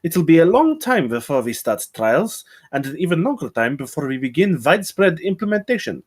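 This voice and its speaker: Man playing an earnest, animated, higher-voiced scientist. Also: a little fast, breathy edge. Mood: earnest, hopeful. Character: earnest scientist addressing patients with hope and patience. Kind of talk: table read of a film script.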